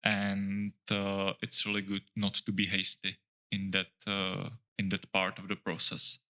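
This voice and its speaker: A middle-aged man speaks English in a low, level voice with a bright, forward edge. He talks in a considered, halting way, stopping often to search for the next word. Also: monotone. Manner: thoughtful, halting, with many "uh" pauses and restarts. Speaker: architect guest. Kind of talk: casual interview chat.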